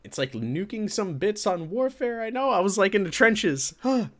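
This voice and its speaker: Animated man chatting on a livestream. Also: sits high, moderate pace. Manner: animated, colloquial, reacting to chat. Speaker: livestream host. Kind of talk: casual chat with live viewers. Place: indoor room with green screen.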